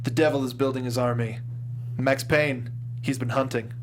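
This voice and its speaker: A man's voice: mid-range voice, fairly even intonation, normal speed, slight background noise. A man hosting a movie review show, chatting casually with his fellow panelists.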